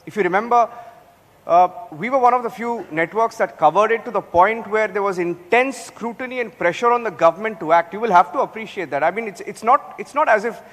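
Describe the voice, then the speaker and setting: A man's voice: high voice, brisk, fairly bright tone. Stammering man in reverberant hall. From Karnataka, India. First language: Telugu. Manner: defensive, stammering restarts. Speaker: news anchor on a panel. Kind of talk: live panel debate.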